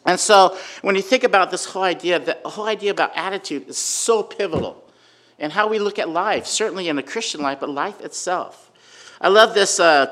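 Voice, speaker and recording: A man giving an informal sermon with a personal story, talking conversationally and loudly, with many fillers and frequent "right?" check-ins. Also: a little high. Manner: conversational, filler-heavy, asks "right?" often; says he has a really loud voice. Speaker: preacher.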